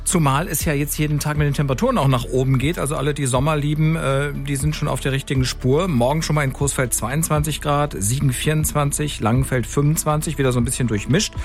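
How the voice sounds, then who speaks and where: A man's voice: a little high, steady tone, quick pace, hardly any pauses, faint music behind. A man giving the weather on the radio in a casual, wry, conversational way. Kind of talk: casual chat, unscripted forecast. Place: radio studio.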